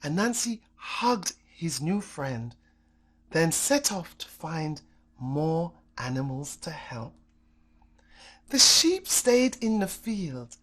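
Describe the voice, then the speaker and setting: A woman reading a children's story as an expressive storyteller, with dramatic, deliberate phrasing. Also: low voice, breathy edge.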